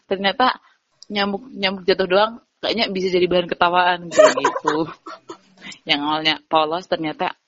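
A woman's voice: fairly low pitch, fairly even intonation, measured pace, pauses often, a bit bright, muffled recording, slight background noise. A young woman, a podcast guest, chatting casually, telling stories animatedly and giggling often. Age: young adult. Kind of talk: casual chat.

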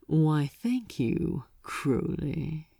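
Woman voicing a suave, sly male archdemon, low and slow. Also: big pitch swings, gravelly, warm tone, husky, dry recording, clean studio sound, heavily acted. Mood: smug, silky. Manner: silky, leisurely, self-satisfied.

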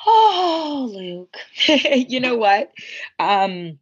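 A woman's voice: high-pitched, sing-song, drawn-out words, husky, dull audio. A woman in her forties, a podcast guest, telling her life story candidly in a reflective, rambling way full of asides. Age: 40s.

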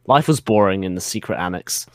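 A man's voice: low-pitched, expressive, a little fast. A man narrating fan fiction as a dramatic reading, giggly and often close to breaking up. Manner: giggly, keeps breaking into laughter.

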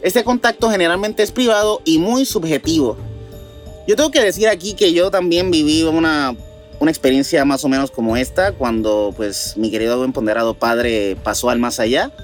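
A man co-hosting a paranormal news show, reading items aloud and then reflecting casually in hesitant, searching phrases. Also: medium pitch.

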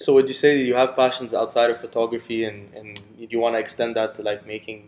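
A man's voice: medium pitch, level tone, racing. A man hosting a casual podcast interview, easygoing and agreeable, calling his guest bro. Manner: easygoing, says "bro", lots of yeah. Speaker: podcast host.

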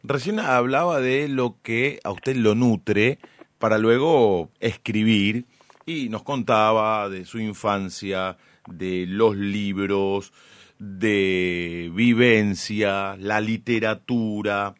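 A man with an Argentine accent, asking interview questions in measured phrases as an attentive host. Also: fairly low pitch, no room sound.

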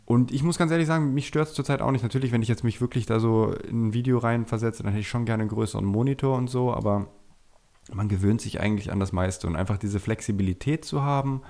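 A man's voice: medium pitch, fast, darkish tone. A man speaking as a podcast guest, chatting casually and candidly about himself in a self-critical way. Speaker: podcast guest, video creator. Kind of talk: casual chat, personal anecdotes.

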